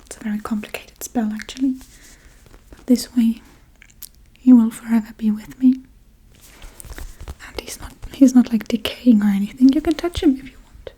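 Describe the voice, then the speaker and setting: A scatterbrained Halloween witch, acted out by a woman, murmurs in a very breathy, whispery voice. The tone is slightly high and mellow. She rambles offhandedly in soft ASMR role-play style, blithely callous about her spells and victims. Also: normal speed, rough recording. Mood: fond, puzzled.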